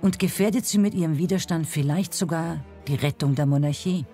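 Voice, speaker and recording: A woman narrates from a script in a warm, relaxed timbre. Her delivery is measured and uses dramatic pauses, with light music behind her. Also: sits low. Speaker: documentary narrator. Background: faint music.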